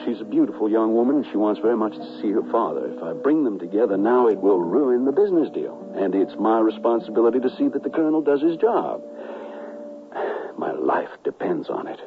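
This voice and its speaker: A man's voice: fairly low pitch, lively intonation, a little fast, natural voice. An actor playing an anxious, scheming man in a western radio drama, speaking in an urgent, persuasive, articulate way. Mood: pleading. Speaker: narrator and character. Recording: dull lo-fi radio recording with music. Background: music.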